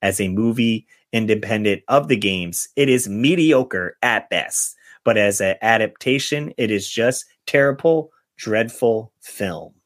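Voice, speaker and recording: A man's voice: lowish voice, animated, unhurried, few pauses, dry recording, studio quality. A man hosting a podcast, chatting casually and reading out film reviews. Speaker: podcast host.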